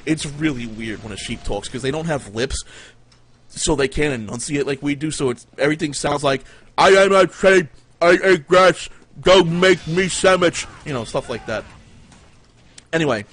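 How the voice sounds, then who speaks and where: A man with a mid-pitched voice tells tall tales off the cuff on a live stream, ranting and rambling as he goes. He swears freely and keeps it casual. Some noise sits behind his voice. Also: fairly bright tone. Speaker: livestream host telling stories. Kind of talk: casual improvised tall-tale storytelling. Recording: noisy live stream room. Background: clear background noise.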